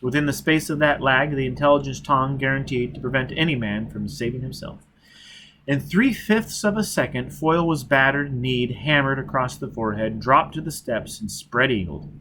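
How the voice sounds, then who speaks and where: A man with a medium-pitched voice narrates an audiobook. His reading is scripted and dramatic, with faint steady noise behind it. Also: normal speed, low-quality recording.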